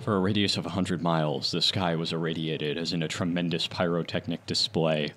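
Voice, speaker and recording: A man's voice: deep voice, level tone, fairly quick. A man narrating an old-time radio adventure serial as its announcer, with a dramatic, declamatory delivery. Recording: dramatic music behind the voice. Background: music.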